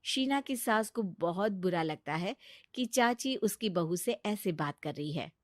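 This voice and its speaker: A woman narrating a scripted family story in an expressive storytelling style. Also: mid-range voice, measured pace, no echo, clean studio sound.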